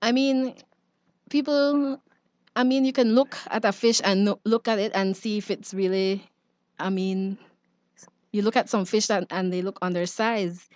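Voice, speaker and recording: A woman's voice: mid-range voice, steady tone, measured pace, darkish tone, no echo. A woman with a Belizean accent explaining things informally as an expert guest, plain-spoken and advisory.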